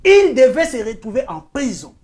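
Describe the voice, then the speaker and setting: A woman's voice: high-pitched, sing-song, normal speed, darkish tone. A woman journalist from Kinshasa with a Congolese French accent, arguing her case point by point as a guest on a talk show and switching into Lingala phrases. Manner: insistent, argues point by point, mixes in Lingala phrases. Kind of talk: heated talk-show debate.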